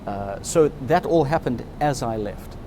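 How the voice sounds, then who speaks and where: A man's voice: medium pitch, expressive, measured pace, noisy background. A man in his fifties from Zimbabwe, telling his life story in an interview in a candid, reflective way. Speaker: interviewee, subscriber.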